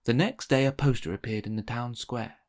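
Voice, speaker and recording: A man narrating a children's storybook aloud in an expressive read-aloud style. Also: mid-range voice, brisk. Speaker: storybook reader.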